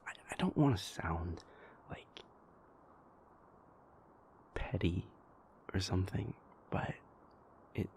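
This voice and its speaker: A man playing a wounded, devoted vampire prince in an intimate audio roleplay, speaking haltingly with repeated words in a husky, gravelly voice. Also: low-pitched, animated, darkish tone, in a whisper, exaggerated voice. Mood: hurt, frustrated.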